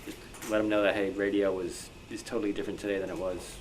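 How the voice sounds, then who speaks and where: A man's voice: lowish voice, little pitch movement, fast. A man making casual remarks as a panelist at a club meeting. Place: meeting room. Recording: noisy live meeting room.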